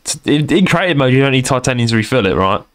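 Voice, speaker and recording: Young london guy rambling on a gaming podcast, repeats himself a lot, mid pitch. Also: lively intonation, fast. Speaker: podcast co-host. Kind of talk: casual podcast chat.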